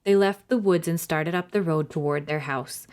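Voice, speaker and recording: A woman's voice: low voice, moderate pace, a little mellow. A woman hosting a children's storytime, narrating expressively and addressing young listeners directly. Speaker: library storytime host and reader.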